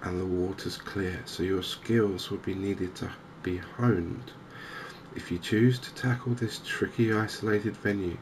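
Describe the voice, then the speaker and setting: English male, very low even voice, soft-spoken cozy gaming ASMR, clear ambient noise behind. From England. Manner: soft-spoken, gentle, unhurried. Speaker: gaming channel host. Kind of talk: softly spoken cozy ASMR game commentary.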